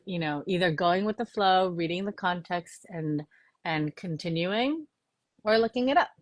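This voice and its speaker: Woman novelist guest, Korean as first language, earnest and chatty, lowish voice, dry close sound. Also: expressive, moderate pace, no echo. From New York, United States. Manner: earnest, with many "you know" fillers. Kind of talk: casual interview conversation.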